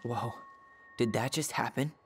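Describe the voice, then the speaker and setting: Casting: male actor for a gentle, reflective American brother in his youth, natural game delivery, medium pitch. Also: unhurried, close to an everyday voice. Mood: uneasy. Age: young adult. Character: gentle, wistful young man, reflective twin brother. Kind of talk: naturalistic video game acting. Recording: game cutscene with background music. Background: music.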